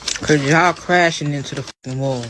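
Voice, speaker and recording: A woman's voice: low voice, normal speed, a bit bright, slight background noise, poor audio. A woman giving a blunt, profane lecture in a clipped monologue. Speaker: clip speaker. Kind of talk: ranting monologue.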